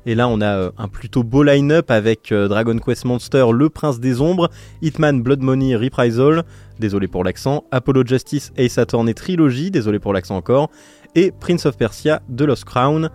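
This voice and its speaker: Man, podcast host, mid-range voice, casual presenting, halting phrasing. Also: medium pitch, darkish tone.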